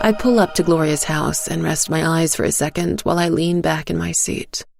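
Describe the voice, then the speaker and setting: A woman narrating a dark fairy tale in the first person, quiet and tired, with music under her voice. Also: sits low, moderate pace, creaky.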